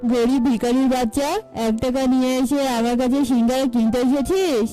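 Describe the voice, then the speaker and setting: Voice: sits high, brisk, dark tone, faint music behind, natural voice, low-quality recording. A performer voicing a greedy, rude crow shopkeeper in a children's cartoon, haggling and scolding. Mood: scornful, irritated.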